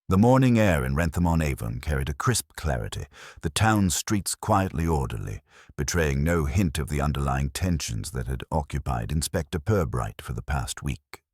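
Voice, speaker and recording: A man narrating a scripted mystery story in a measured, formal storytelling manner. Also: very deep voice, dry recording, studio quality. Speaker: audiobook narrator.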